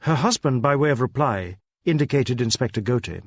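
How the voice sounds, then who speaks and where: A man's voice: mid-range voice, lively intonation, brisk, no room sound. A man reading a crime novel as an audiobook narrator, in scripted storytelling prose.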